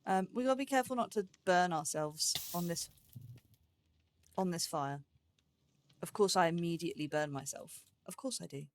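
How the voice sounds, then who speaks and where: A woman's voice: fairly low pitch, moderate pace, long pauses, a little hiss or hum. A woman giving casual gameplay commentary, chatting and thinking aloud to herself as she goes. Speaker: host of a gaming let's play series.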